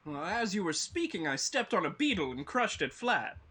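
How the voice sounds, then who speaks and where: Tin Woodman, female actor: tender and courteous, raspy and lively, children's fantasy table read. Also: on the low side, moderate pace, full character voice. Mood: regretful. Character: tender, polite tin woodman longing for a heart.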